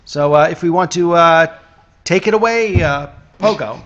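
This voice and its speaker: A man's voice: fairly high pitch, normal speed, fairly bright tone, dull audio. A man hosting a podcast live, introducing the show. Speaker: podcast host. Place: live venue at a hotel.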